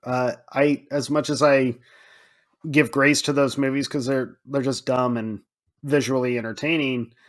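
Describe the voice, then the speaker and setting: A man's voice: mid-range voice, little pitch movement, a little slow. A man with a mid-range voice talks as a podcast co-host in loose, casual film chat. He swears freely and quotes his own reactions as he goes.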